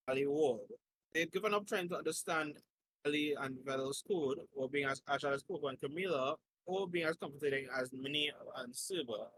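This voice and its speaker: Bright, higher-pitched man reading a story aloud. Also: rough recording. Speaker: host reading fan fiction aloud. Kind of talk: informal read-aloud narration with asides.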